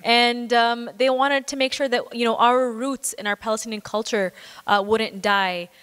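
A woman from Minnesota telling her personal story on a panel, measured and earnest, with many fillers. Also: fairly high pitch, fairly even intonation, a bit bright, clean tone. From United States, Minnesota. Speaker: panelist journalist. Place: large hall stage. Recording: hall reverb, far off.

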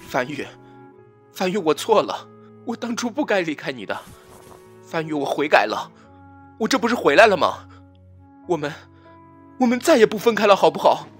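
A man's voice: sits high, sing-song, moderate pace, pauses often, breathy edge, soft background music, exaggerated voice. A man playing a young rejected ex-lover in a radio drama, imploring, emotional and insistent. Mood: desperate, pleading. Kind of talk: web radio drama acting.

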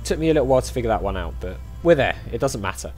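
A man's voice: mid-range voice, big pitch swings, rapid-fire, a little mellow, faint music behind. A man giving casual let's-play commentary, thinking aloud in a chatty way as he plays. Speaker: gaming video host.

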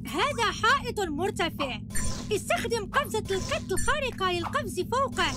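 A woman's voice: very high voice, sing-song, moderate pace. A woman voicing a young child superhero, a helpful, encouraging teammate giving instructions in a cartoon game. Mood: helpful, careful. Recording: loud game music and jingles behind.

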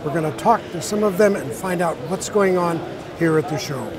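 A man's voice: high-pitched, normal speed. A man hosting and narrating a walk through a convention, talking in an upbeat, conversational way. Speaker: interviewer and host. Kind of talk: convention walkthrough narration and interview. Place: busy convention hall, indoors. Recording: crowded convention hall noise. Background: crowd chatter.

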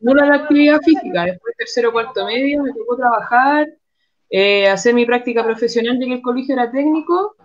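High-voiced young Chilean woman on a call. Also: fairly quick. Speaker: guest footballer. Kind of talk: casual interview answers. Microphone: video call. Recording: dull, lo-fi video call audio.

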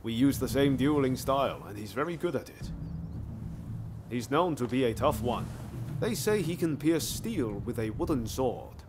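A male actor voices a bold, friendly young ronin in a video game. He is an idealist who talks in a casual, earnest way and encourages others, at a medium pitch for a man. Music plays clearly behind him. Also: big pitch swings, normal speed, close to an everyday voice. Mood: casual, curious. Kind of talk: video game cutscene acting.

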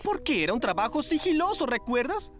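A man's voice: extremely high pitch, very animated, fairly quick, over loud music. A man playing a flippant young male stylist in a cartoon dub, flamboyant and breezy. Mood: breezy, soothing. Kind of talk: animated children's cartoon dub.

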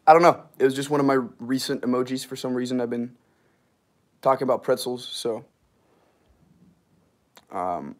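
A young man in his twenties talks on a relaxed livestream, his voice slightly hoarse and strained. He rambles loosely, full of fillers and quick laughs, as he answers viewer comments. He sounds close to a device camera held at arm's length in a small wood-paneled garage room. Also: medium pitch. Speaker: livestream host. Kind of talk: casual livestream chat reading comments.

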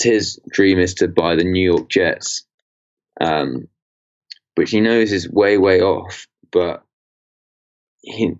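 Low-voiced young man, rambling. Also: lo-fi sound. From England. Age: 20s. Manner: rambling, many fillers and restarts. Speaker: podcast guest, entrepreneur. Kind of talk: casual interview chat.

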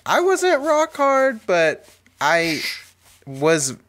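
A man's voice: sits high, wildly swooping pitch, fairly bright tone. A man in his thirties co-hosting a casual movie-review podcast from home on a studio mic, laughing often and acting out quoted voices. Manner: giggly, quick to laugh, acts out quoted voices. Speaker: podcast co-host. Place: home room with bookshelves. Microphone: studio mic with headphones, video call.

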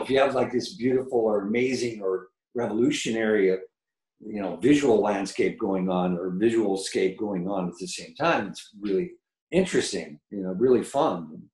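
An older man with a low baritone voice, chatting as a guest musician over a video call, rambling and enthusiastic with many fillers. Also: a little mellow, roomy sound, poor audio. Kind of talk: casual interview chat. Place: home room with painted mural, video call. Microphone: video call webcam.

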